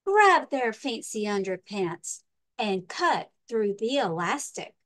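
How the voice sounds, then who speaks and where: A woman librarian reading a children's storybook aloud with expressive, deliberate, emphatic narration. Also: mid-range voice.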